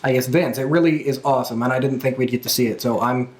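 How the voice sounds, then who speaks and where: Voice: medium pitch, fairly even intonation, fast talker. A podcast host chatting casually and enthusiastically about pro wrestling with co-hosts. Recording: roomy, echoing room sound.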